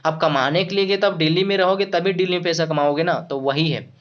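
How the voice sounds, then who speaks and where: A man's voice: a little high, fairly even intonation. Hindi-speaking man teaching English phrases. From Jharkhand, India. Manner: repetitive, restarts words, slows down for English examples. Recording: dull lo-fi sound.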